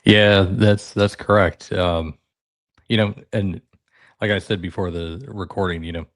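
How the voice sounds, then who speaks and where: A man with a deep radio voice, a podcast guest chatting easily about his soccer club. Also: steady tone, unhurried, no room sound, studio quality. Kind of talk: casual podcast chat.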